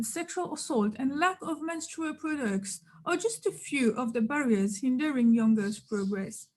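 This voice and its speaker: Mauritian-born woman w a high voice, firm n earnest. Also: low-quality recording. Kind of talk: prepared statement.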